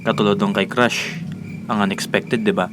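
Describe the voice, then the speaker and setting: A man's voice: on the low side, fairly even intonation, normal speed. A man reading a radio commercial as its announcer, on a very noisy recording. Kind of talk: radio ad read.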